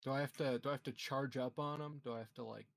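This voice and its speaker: A man's voice: medium pitch, fairly even intonation, fast, vocal fry, no echo, studio quality. A man talking casually and laid-back as a player in a tabletop roleplaying game.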